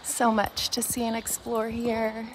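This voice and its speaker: A middle-aged woman hosting an unscripted walk-through vlog on a phone at arm's length, with a halting, meandering delivery. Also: a little high, monotone. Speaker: host of a shopping preview vlog. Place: outside a metal warehouse store, then large busy indoor market and cafe. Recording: noisy handheld phone recording on location.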